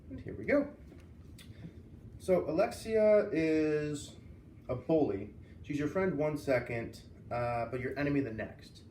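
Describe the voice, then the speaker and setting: A man's voice: mid-range voice, a little mellow. A man speaking as a teacher, introducing and commenting on a children's book he reads aloud. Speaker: teacher reading aloud to students. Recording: roomy, distant mic, faint noise.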